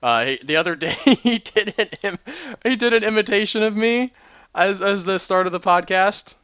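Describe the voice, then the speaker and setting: Excitable thirtysomething man streaming a build game, bright lively voice, dry dull lo-fi mic. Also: sits high, normal speed, clean tone, no echo. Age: 30s. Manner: excitable, rambling. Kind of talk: casual commentary while gaming.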